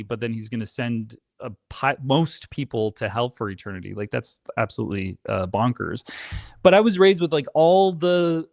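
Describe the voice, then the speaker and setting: A man speaks as an interview guest, sharing personal stories in a casual, conversational style. His delivery is animated and emphatic, often cutting into his own sentences. The sound is dry, with very little room in it. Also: medium pitch, no echo. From Canada. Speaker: podcast guest.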